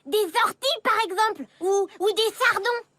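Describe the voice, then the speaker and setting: Brief: keen little schoolgirl, squeaky high voice, quick and hopeful. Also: no echo. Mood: eager. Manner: bright, quick, hesitant when pressed. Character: eager, hopeful young schoolgirl. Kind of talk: cartoon dub.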